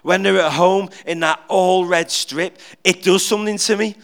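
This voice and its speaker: A middle-aged man preaching an informal, humorous sermon in church, chatty and animated, restarting words and joking with the congregation. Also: high voice, moderate pace, clean tone. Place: church, speaking at the front.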